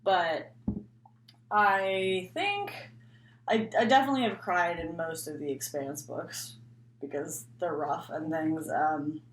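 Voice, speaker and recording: A woman talking casually and unscripted as a book vlogger, rambling and often interrupting herself. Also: low voice. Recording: echoey room, faint background noise.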